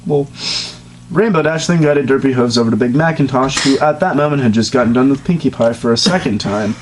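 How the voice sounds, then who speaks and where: Deadpan young man reading aloud. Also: brisk, slight background noise. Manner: deadpan reading broken by fits of high laughter. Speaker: dramatic reader. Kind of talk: comedic dramatic reading of fan fiction.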